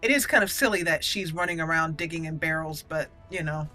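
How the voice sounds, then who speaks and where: A woman hosts a roleplay let's play with a bright, crisp-edged voice. She comments on the game and narrates as she goes. Music plays behind her. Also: low-pitched, fairly quick.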